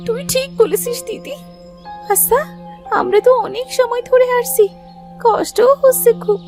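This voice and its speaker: A woman's voice: very high-pitched, moderate pace, a little mellow, faint music behind. A woman voicing a timid little orphan girl in a cartoon, plaintive and childlike in her pleading. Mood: meek. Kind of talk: Bengali cartoon voice acting.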